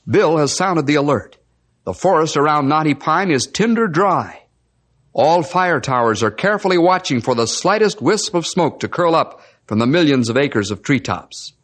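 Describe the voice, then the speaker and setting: A man's voice: on the high side, a bit bright. A man narrating an old-time radio adventure as announcer, dramatic and emphatic.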